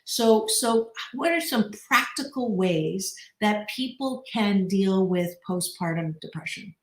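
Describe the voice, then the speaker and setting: Loud, bubbly woman radio host. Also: medium pitch, animated, takes it slowly, roomy sound, low-quality recording. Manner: bubbly, laughs often, talks loudly. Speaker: radio talk show host. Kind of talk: energetic radio chat.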